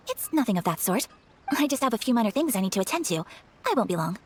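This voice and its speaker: A woman playing a polite, refined young noblewoman in a video game, gentle, earnest and formally courteous. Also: on the high side, sing-song, brisk, slightly rough. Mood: polite, composed.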